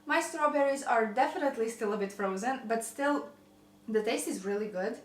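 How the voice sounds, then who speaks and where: A woman's voice: on the high side, normal speed, slight background noise. Chatty Croatian woman in an echoey kitchen. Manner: chatty, rambling, self-deprecating. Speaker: vlogger. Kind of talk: casual kitchen chat. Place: home kitchen.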